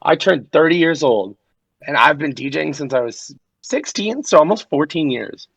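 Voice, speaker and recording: Young male DJ guest, gravelly, dry close sound, rambling and profane. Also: fairly high pitch, animated, fairly bright tone, no room sound. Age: 20s. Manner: rambling, profane storytelling with quick impressions. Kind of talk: casual podcast chat.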